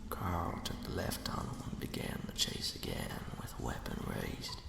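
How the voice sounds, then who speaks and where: A man voicing the sinister ghost of a murdered henchman, telling a spooky tale in a hushed, folksy, menacing way for an ASMR roleplay. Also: a bass voice, sing-song, measured pace, exaggerated voice. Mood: ominous storytelling. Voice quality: breathy, gravelly whisper. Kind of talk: ASMR roleplay, scary storytelling. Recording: reverberant, cavernous echo.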